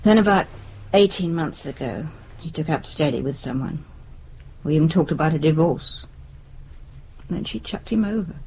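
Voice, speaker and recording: A woman's voice: deep voice, animated, moderate pace, vocal fry, warm tone, slight background noise, low-quality recording. An actress playing a resigned, anxious middle-aged British wife and mother in a naturalistic radio drama, hesitant and wistful. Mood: reflective.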